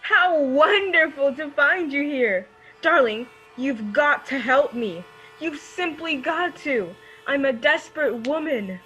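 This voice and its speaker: A woman playing an effusive, pushy high-society friend in an old-time radio drama, theatrical and insistent. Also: expressive, slow, pushed and bright, muffled recording, full character voice. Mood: effusive, pleading.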